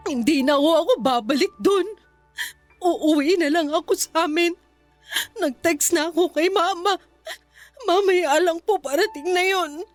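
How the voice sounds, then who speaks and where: Young filipino wife in radio drama, super high pitched, wounded and stubborn, emotional. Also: female voice, a little slow, no room sound, soft background music. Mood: hurt, resolute. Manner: emotional, pleading, outspoken. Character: hurt, defiant young pregnant wife.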